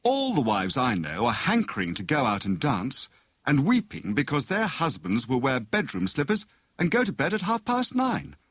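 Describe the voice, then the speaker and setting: A man's voice: medium pitch, wildly swooping pitch, normal speed, a bit bright, voice pushed up, full character voice. A man voicing a jovial, pompous husband who plays amateur detective in a teasing, mock-grand manner for an audiobook. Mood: weary protest.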